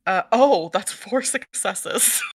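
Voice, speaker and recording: A woman's voice: medium pitch, expressive, slow. A woman playing a tabletop game, hesitant with many fillers and often giggling. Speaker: player.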